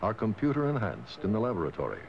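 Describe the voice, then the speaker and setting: A man reading scripted documentary narration in a measured, formal way, on a dated soundtrack. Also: on the low side, huge pitch swings, gravelly, a little hiss or hum. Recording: dull, dated lo-fi film soundtrack. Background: music.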